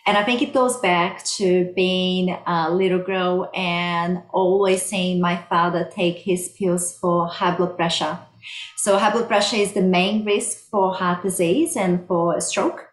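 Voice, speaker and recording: A woman's voice: on the low side, fairly even intonation, unhurried. A middle-aged woman from Brazil with a Brazilian accent, explaining her research plainly as an interview guest. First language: Portuguese. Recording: roomy, echoey sound.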